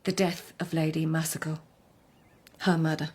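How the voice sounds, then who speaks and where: An actress playing a poised, anxious court lady devoted to her Empress, speaking in a measured, formal, guarded way in a radio drama. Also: low-pitched, flat delivery, a little mellow, breathy edge. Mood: guarded, probing.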